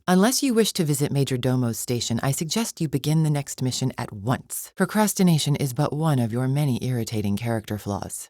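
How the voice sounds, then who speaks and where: A woman's voice: very deep voice, warm tone, dry recording, studio quality. An actress playing a proud, disdainful alien arena champion in a video game, a cold warrior woman with stiff, formal, haughty diction. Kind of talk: scripted video game character lines.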